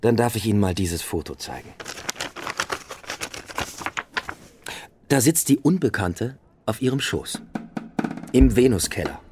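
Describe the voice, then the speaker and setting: Casting: man playing dogged detective, lowish voice, cool and direct pressure, radio play scored with music. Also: lively intonation, normal speed, close to an everyday voice. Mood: coolly pressing. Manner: direct, insistent. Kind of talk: radio drama acting.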